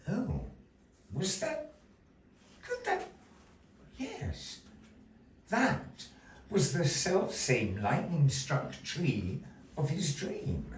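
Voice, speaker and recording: A man telling a dramatic adventure tale to a live audience, slow and theatrical, with playful asides. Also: medium pitch. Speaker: puppet show storyteller. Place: small gallery room with audience. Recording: roomy echo, distant mic, faint noise.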